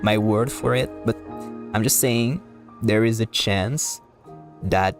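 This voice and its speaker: Male game streamer, slightly deep voice, casual rambling commentary, halting repetitions, music underneath. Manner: rambling, halting, repeats words.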